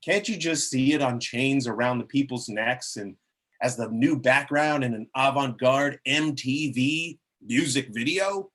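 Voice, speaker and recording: A man acts out a glib cardinal giving a public speech at a table read. His voice is middle-pitched and husky. He addresses the crowd in an oratorical, salesmanlike way. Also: a little slow, fairly bright tone. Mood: exasperated, insistent. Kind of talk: amateur script table reading of a public speech.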